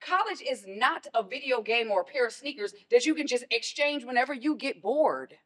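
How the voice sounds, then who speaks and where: A woman's voice: a little high, lively intonation, normal speed. A woman playing a stern, protective mother in a stage play, scolding and pressing with pointed questions. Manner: forceful, scolding, rhetorical questions.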